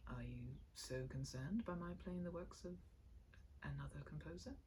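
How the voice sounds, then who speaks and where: A woman voicing a discouraged young gentleman husband in a storybook read-aloud, speaking formally and haltingly with strained self-control. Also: deep voice, a little hiss or hum, close to an everyday voice. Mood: guarded.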